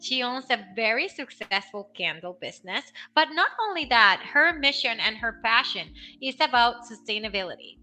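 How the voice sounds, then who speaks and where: Upbeat lady podcast host, super bright tense voice, kinda gushing. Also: a little high, lively intonation, normal speed, few pauses, faint music behind, poor audio. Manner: enthusiastic, gushing. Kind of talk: interview introduction and questions.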